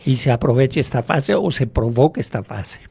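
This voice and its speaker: Man, mellow warm tone, explains patiently, poses his own questions, radio guest. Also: medium pitch, animated, gravelly, slightly rough. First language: French. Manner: explanatory, measured, asks and answers own questions. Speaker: hypnotherapist guest. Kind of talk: radio interview. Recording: radio interview.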